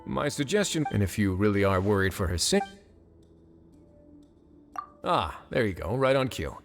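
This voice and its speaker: A man playing a composed, shrewd, commanding duke in a video game, with a measured, self-assured and slightly wry manner. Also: lowish voice, big pitch swings, soft background music. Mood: persuasive. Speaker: duke in charge of a prison fortress, character.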